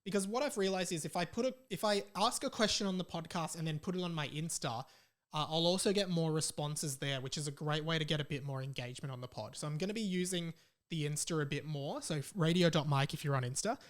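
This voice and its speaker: A man's voice: fairly high pitch, quick pace. A man hosting a solo podcast in a casual, jokey way, repeating phrases for emphasis. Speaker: podcast host.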